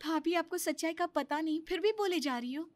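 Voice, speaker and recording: A woman acts a meek, hurt young mother in a moral story, pleading earnestly. The voice is high, in a dry recording. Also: fairly quick, no room sound.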